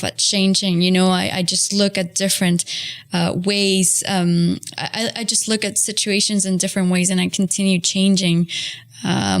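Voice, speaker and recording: Young woman, reflective and emphatic. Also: medium pitch.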